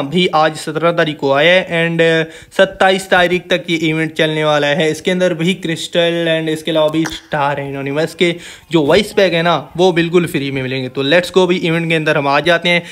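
A man's voice: a little high, a bit bright. A man with a Pakistani accent giving a casual, informal voice-over walkthrough as a gaming channel host, chatting to viewers like brothers.

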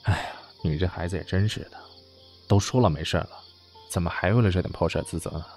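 A man's voice: deep voice, fast talker, a little mellow, faint music behind. An actor in an audio drama playing a modern young man who is doting, exasperated and secretly lovesick. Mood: caring, coaxing.

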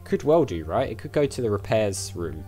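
A man's voice: fairly low pitch, sing-song, normal speed, warm tone, soft background music. A chatty man giving casual let's-play commentary, thinking aloud as he goes. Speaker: gaming YouTuber.

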